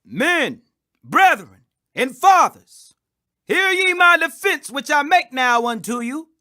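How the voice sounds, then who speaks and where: High-pitched, emphatic man reading scripture. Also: sing-song, sharp tone. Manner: emphatic, declamatory, with drawn-out stressed phrases. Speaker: scripture reader. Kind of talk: dramatic scripture reading, preacher-like declamation.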